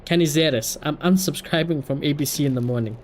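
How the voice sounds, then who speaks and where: A man giving casual livestream commentary in an animated, slangy, sweary way. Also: a little high, a little fast. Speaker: live streamer.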